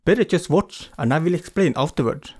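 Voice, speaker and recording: A man's voice: on the high side, lively intonation, brisk, a little mellow. A man giving an explanatory voice-over for a craft tutorial. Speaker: craft vlogger narrating a tutorial.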